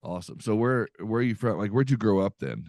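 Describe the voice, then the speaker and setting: A man's voice: lowish voice, animated, fast talker, a little mellow, dry recording, clean studio sound. A man hosting a podcast, chatting casually in an upbeat, folksy way with lots of fillers. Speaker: podcast host. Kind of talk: casual podcast chat with ad reads.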